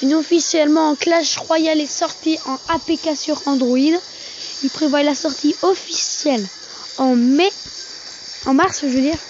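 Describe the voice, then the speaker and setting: A gaming video host giving casual, halting commentary on a mobile game, often repeating and correcting phrases, on a muffled amateur recording. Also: high-pitched, steady tone, noisy background.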